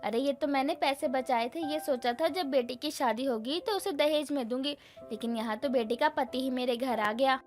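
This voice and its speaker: A woman playing a sharp, grumbling middle-aged mother-in-law in a Hindi cartoon story, with a nagging, pointed way of talking. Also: high voice, moderate pace, soft background music, close to an everyday voice. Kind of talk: animated moral story acting.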